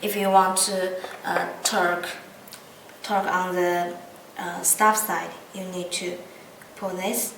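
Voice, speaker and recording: Woman, non-native English, slow hesitant delivery, product demo, roomy echo, distant from the mic. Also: fairly low pitch, flat delivery, gravelly, fairly bright tone, a little hiss or hum. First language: not English. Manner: halting, hesitant speech with frequent pauses mid-phrase. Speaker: product demonstrator. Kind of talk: unscripted product demonstration.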